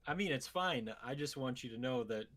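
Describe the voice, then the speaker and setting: A man's voice: mid-range voice, expressive, brisk, over a noisy crowd. A man with a mid-range voice hosts a casual podcast. He talks in a wry, bantering way, like someone chatting with friends. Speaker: podcast host.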